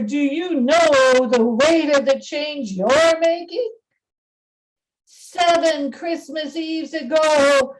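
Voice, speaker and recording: A woman playing the mournful, wailing ghost of a dead businessman in a radio play, speaking slowly and solemnly. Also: sits high, low-quality recording. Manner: slow, solemn, drawn-out delivery. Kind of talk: amateur radio play acting.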